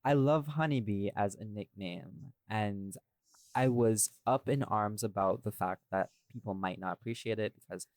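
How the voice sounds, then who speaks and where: A man's voice: lowish voice, moderate pace, darkish tone, no room sound. A man hosting a podcast in an informal, conversational way. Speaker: podcast host, voice actor. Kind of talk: casual chat.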